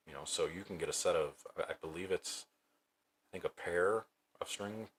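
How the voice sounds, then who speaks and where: Deep-voiced man chatting on a livestream. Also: slight background noise. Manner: relaxed, thinking aloud with restarts. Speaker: streamer and bowling coach. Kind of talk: casual livestream chat. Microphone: new desk microphone.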